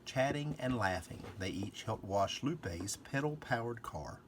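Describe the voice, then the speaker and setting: A man's voice: mid-range voice, animated, unhurried, slight background noise. A man reading aloud a children's storybook in a scripted narration. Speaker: storybook reader.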